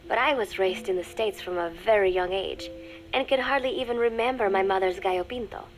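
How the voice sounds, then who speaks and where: A woman voicing a young undercover spy in a video game, dictating a private diary in a measured, confiding, dryly scornful way. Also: medium pitch, soft background music, natural voice, rough recording. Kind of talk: scripted dramatic diary narration for a game.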